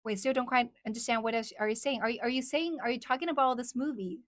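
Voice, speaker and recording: A woman's voice: a little high, quick pace, no echo, clean studio sound. A young woman from China, hosting a casual livestream, chatting with viewers, repeating their questions aloud and switching between English and Mandarin. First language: Chinese. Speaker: livestream host. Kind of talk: casual livestream chat reading viewer comments.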